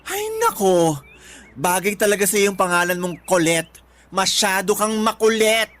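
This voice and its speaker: A woman's voice: mid-range voice, fairly even intonation, unhurried, a bit bright, lightly acted. An actress playing a blunt, exasperated young woman who scolds and questions her friend in a Filipino radio drama.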